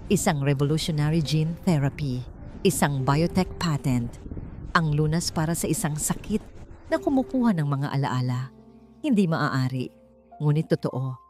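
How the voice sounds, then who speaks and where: A woman's voice: deep voice, a little mellow. A woman narrating a scripted romance drama in a solemn, emotive storytelling manner. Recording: music under the voice.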